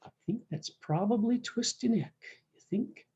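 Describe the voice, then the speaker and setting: Mellow woman reading a folksy storybook aloud. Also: on the high side, normal speed, dull audio. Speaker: story time host reading a picture book. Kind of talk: read-aloud of a rhyming cowboy storybook with brief asides.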